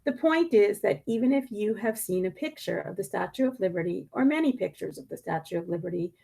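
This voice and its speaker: Female storybook reader, very lively intonation, noticeable vocal fry, dramatic stresses and pauses. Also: medium pitch. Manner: deliberate, dramatic emphasis with pointed questions and pauses. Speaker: media specialist reading a picture book aloud. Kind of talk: expressive picture-book read-aloud.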